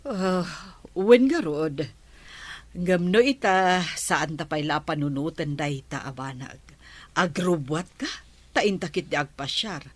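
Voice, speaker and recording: A woman playing a slow-talking friendly neighbor. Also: low voice, animated, no room sound. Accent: Ilocano.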